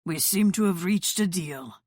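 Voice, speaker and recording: Woman voicing a crime boss father, low pitch, calm, commanding, dry close audiobook sound. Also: measured pace, dry recording. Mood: wry. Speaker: audiobook narrator voicing dialogue. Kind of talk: audiobook character dialogue.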